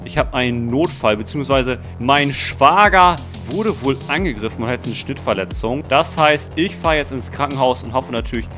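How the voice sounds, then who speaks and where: A young man narrating a roleplay game in a dramatic, urgent, slangy way, excited as he plays. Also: medium pitch, moderate pace, hardly any pauses. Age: young adult. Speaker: video creator playing a roleplay game. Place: indoor streaming room. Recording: music behind the voice.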